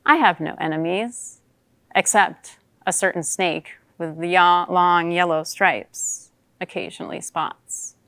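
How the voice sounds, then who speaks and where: A woman performing a dramatic monologue as a wry, watchful female newt, in measured theatrical storytelling. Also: lowish voice, fairly bright tone, no echo, clean studio sound. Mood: somber, wry.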